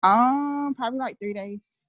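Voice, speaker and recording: A woman's voice: on the high side, a little slow, fairly bright tone, dry recording, muffled recording. A woman from Atlanta, a new distributor speaking on a video call, answering hesitantly with lots of fillers.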